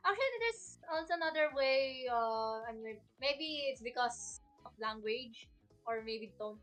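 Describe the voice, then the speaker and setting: A woman's voice: sits high, lively intonation, unhurried, a bit bright, faint music behind. A woman co-hosting a casual chat, hesitant at times and searching for words. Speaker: co-host.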